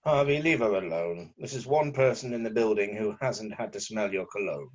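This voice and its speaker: A man's voice: fairly low pitch, normal speed, muffled recording, close to an everyday voice. A man reading a weary, bitterly sarcastic journalist in an amateur script read. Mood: tense, resentful. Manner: exasperated, sarcastic.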